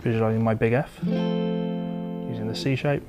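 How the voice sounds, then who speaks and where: A man's voice: lowish voice, steady tone, a little slow. A man giving an informal guitar lesson, explaining step by step as he demonstrates. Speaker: guitar teacher. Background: electric or acoustic guitar being played.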